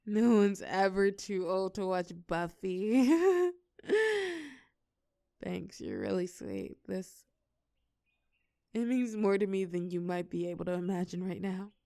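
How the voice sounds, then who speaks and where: A woman's voice: medium pitch, expressive, takes it slowly, vocal fry, pauses often, dark tone, clear, resonant tone, dry recording, clean studio sound. A woman playing a shy, sweet, geeky young woman in an intimate romantic audio roleplay, speaking hesitantly and earnestly. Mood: shy, vulnerable.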